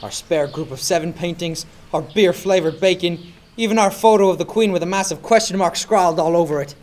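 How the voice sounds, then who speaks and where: A man's voice: sits high, a little fast, a little mellow, lightly acted. A man playing a theatrical, exasperated hero who also narrates a comic radio play. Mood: dramatic narrating.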